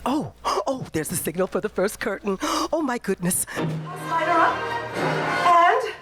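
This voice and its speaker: Woman as a high, swooping, gushing radio hostess. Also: big pitch swings, a little slow, fairly bright tone, full character voice. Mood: delighted, theatrical. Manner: gushing, theatrical. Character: genial, excitable theatergoing radio hostess. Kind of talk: 1940s radio show narration. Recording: roomy live stage with music.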